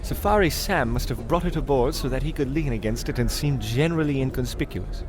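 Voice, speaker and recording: Man playing pompous singing detective over noisy rumble. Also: expressive, brisk, natural voice. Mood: smug. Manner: theatrical, grandiose deductive declarations. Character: pompous male singing detective, melodramatic and absurdly self-assured. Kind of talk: old-time radio comedy acting.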